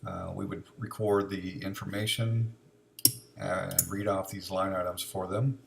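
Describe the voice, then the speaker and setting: Male software demonstrator, low voice, informal step-by-step walkthrough, some background noise on the recording. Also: fairly even intonation, measured pace, vocal fry. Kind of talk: unscripted step-by-step software tutorial narration.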